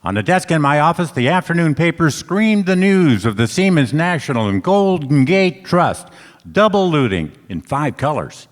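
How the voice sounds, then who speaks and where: A man's voice: a little high, big pitch swings, moderate pace. A man playing a tough hardboiled private detective, narrating his case in 1940s radio play style. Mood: grim, matter-of-fact. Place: on stage in a hall. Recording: noisy echoey hall, live stage performance.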